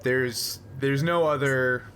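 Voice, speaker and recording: A man with an average-pitched voice acts as game master for a tabletop game. He narrates casually and in pieces, pausing to find the next detail. There is faint noise behind him. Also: fairly even intonation, a bit bright. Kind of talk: tabletop actual play narration and casual chat.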